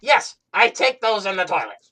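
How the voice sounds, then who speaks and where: A woman's voice: mid-range voice, sing-song, normal speed, sharp tone. A woman playing a giggly, zany joker who makes absurd quips in casual group banter. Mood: absurd joking. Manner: giggly, nonsensical quipping. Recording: distant mic with background noise.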